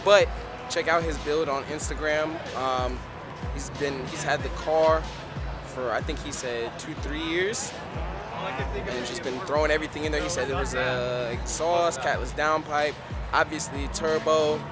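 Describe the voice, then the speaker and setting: A young man talks into a handheld camera in a relaxed, chatty way, dropping plenty of ums. His voice sits a little high for a man. Loud beat music plays underneath him. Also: measured pace. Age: young adult. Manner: laid-back, chatty, frequent ums. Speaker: vlogger host. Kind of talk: casual vlog narration. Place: outdoor car event lot and parked car. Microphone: handheld camera held close.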